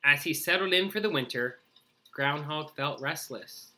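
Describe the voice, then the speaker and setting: A man narrating a children's storybook aloud in an expressive read-aloud style. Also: a little high, measured pace. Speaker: story reader.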